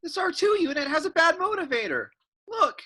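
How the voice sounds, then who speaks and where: A woman's voice: very high-pitched, sing-song, normal speed, sharp tone, exaggerated voice. A woman playing a restless, frustrated young farm boy in a casual way, in a script read. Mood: matter-of-fact. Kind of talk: virtual script table read.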